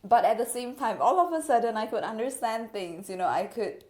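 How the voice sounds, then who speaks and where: A woman from Malaysia co-hosting a podcast, talking in a thoughtful, measured and gently emphatic way. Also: fairly high pitch, expressive. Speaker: podcast co-host. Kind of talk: casual conversational chat.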